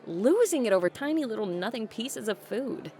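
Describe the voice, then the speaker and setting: Lively, sardonic rich daughter. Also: female voice, fairly high pitch, moderate pace. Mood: wry, teasing. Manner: dry, teasing sarcasm. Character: sardonic, resentful adult daughter of a tycoon. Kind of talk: naturalistic audio drama acting.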